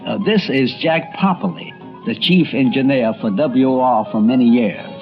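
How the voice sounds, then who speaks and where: A man's voice: medium pitch, moderate pace, highs cut off, faint music behind. An American man narrating a radio history documentary in a conversational, folksy and wry storytelling way.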